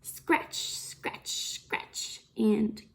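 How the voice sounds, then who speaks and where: A woman reading a picture book aloud to young children as a storytime teacher, in an expressive sing-song manner with lots of questions. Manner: sing-song, slow and deliberate, with exaggerated expression and frequent questions.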